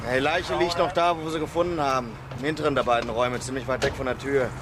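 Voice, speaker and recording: Quick-talking fireman guy acted, plain and businesslike, radio drama, noisy street with vehicles behind. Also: mid-range voice, fairly even intonation, lightly acted. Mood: matter-of-fact. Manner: colloquial, matter-of-fact. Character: brisk, matter-of-fact male fire chief at a scene. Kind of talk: radio crime drama acting.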